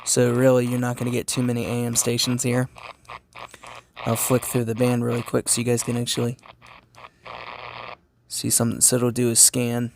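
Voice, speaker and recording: A man's voice: mid-range voice, monotone, moderate pace, pauses often, background noise. A man giving a casual hands-on gadget review as a channel host, informal and repetitive as he thinks aloud.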